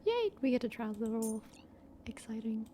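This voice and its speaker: A woman's voice: fairly high pitch, very animated, normal speed, warm tone, slight background noise. A woman streamer giving casual gameplay commentary and reading game choices aloud. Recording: clear game music behind.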